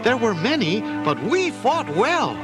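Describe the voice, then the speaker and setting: Man as Leonardo, eager high-pitched teen turtle leader. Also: unhurried, exaggerated voice. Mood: proud, eager. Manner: earnest, excitable declarations. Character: eager, bold teenage male turtle ninja leader. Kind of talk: children's audio story acting. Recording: loud music under the voice.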